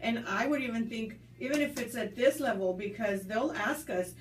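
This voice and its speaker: A woman's voice: a little high, normal speed. A woman who was born in the United States, being interviewed and speaking earnestly and thoughtfully, with fillers and restarts. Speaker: school staff member interviewed. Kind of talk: conversational interview. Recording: roomy, distant mic, faint background noise.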